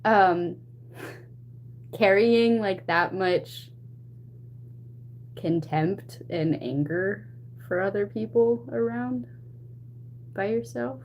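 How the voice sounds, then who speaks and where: A woman chatting casually to stream viewers, retelling a personal story in an animated, expressive way. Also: mid-range voice, drawn-out words, pauses often, a little hiss or hum, low-quality recording. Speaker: livestream host.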